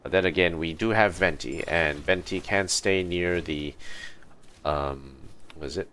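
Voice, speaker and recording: A man from Malaysia giving low-key, muttered, casual commentary as he hosts a video game livestream. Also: measured pace, sharp tone. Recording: clearly noisy mic with clicks.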